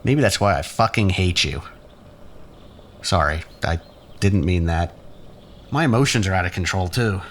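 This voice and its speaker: Man playing a worn-out, self-pitying man, swinging pitch. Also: animated, normal speed, long pauses, fairly bright tone. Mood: bitter. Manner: stammering, sighing, self-pitying. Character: exhausted, agitated man in withdrawal, pleading and bitter. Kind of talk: audio drama acting. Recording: faint background noise.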